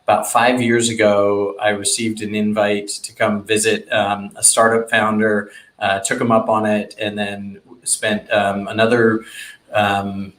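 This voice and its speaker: A man hosting a casual interview over a video call, speaking haltingly and often restarting his phrases. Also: fairly low pitch, flat delivery, fairly bright tone. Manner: halting, restarts and repeats words. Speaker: interview host. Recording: roomy echo on a video call.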